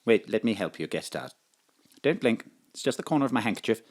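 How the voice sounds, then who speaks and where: An actor playing a suave, courteous gentleman lover in a parody of old romantic audio drama. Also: fairly low pitch, animated, brisk. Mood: attentive, apologetic.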